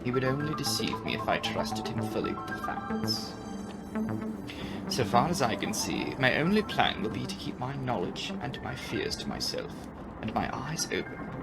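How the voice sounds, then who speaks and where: A man giving a dramatic audiobook reading of a classic novel, with expressive, deliberate, theatrical phrasing. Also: mid-range voice, loud music behind the voice, poor audio. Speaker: audiobook narrator and channel host.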